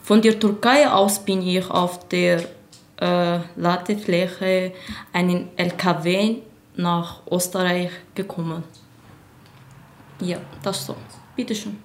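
A young woman from Syria, answering interview questions in halting speech with many fillers. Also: lowish voice, fairly even intonation, slight background noise. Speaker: radio guest.

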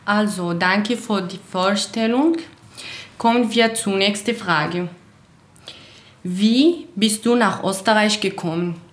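A young woman from Syria, hosting a community radio interview in slow, careful speech with small grammar slips. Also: medium pitch. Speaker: radio show host. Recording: roomy echo.

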